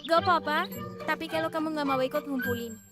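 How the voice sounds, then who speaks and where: A woman voicing a small, excitable young creature in an Indonesian children's cartoon, childlike and expressive. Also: high voice, a little fast, natural voice. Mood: matter-of-fact. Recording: music behind the voice.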